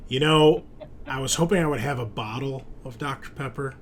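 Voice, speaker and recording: A man's voice: mid-range voice, moderate pace, a little hiss or hum. A man hosting a tabletop game as game master, chatting casually and narrating in informal language.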